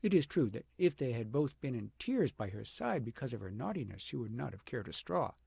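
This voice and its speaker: A man with a husky, creaky voice reads a storybook aloud in an expressive, deliberate way. His pitch sits in a middle male range, and he leans on key words, then eases into softer phrases. The recording is close and dry. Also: no echo. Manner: dramatic, deliberate phrasing with emphatic swells and hushed drops. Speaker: audiobook narrator reading a fairy tale aloud.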